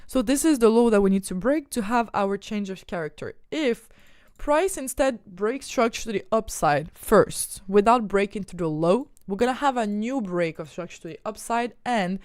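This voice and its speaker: A woman's voice: mid-range voice, lively intonation, moderate pace, darkish tone, no echo, clean studio sound. A young woman from Ukraine with a mixed French and Ukrainian accent, explaining trading charts on a live stream and answering viewers' questions. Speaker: trading educator.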